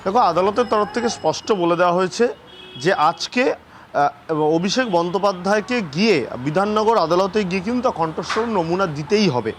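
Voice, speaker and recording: A man's voice: high voice, lively intonation, normal speed, a little hiss or hum. A man with a West Bengal accent giving an unscripted live field report in an emphatic, repetitive manner.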